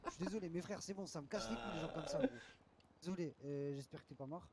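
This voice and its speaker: A man's voice: a little high, fast talker, heavy background noise. A young man talking in casual, slangy, confrontational chat while playing an online roleplay game.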